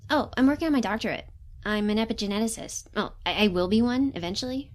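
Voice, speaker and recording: An actress playing an awkward, eager young intern in an audio drama, hesitant and chatty, often correcting herself. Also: female voice, on the high side, expressive, fast, a little mellow, lightly acted. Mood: earnest, confiding.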